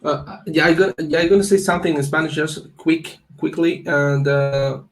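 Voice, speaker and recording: A man's voice: fairly high pitch, steady tone, normal speed. A man hosting a live online meetup, handing over to the speaker with brief polite remarks. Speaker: meetup host.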